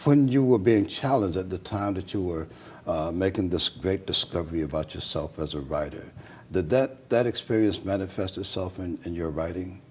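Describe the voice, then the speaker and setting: A man's voice: deep voice, normal speed, a little mellow, a little hiss or hum. A man hosting a conversational interview, probing with questions that he restarts and repeats as he goes. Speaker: talk show host, interviewer.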